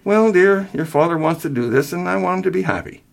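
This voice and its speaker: A man reading a story aloud, voicing an older mother who is sweet and dreamy yet sharp-tongued. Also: mid-range voice, lively intonation, racing, slightly rough, pitched above the performer's own voice, heavily acted. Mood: hesitant. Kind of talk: acted dialogue in a story reading.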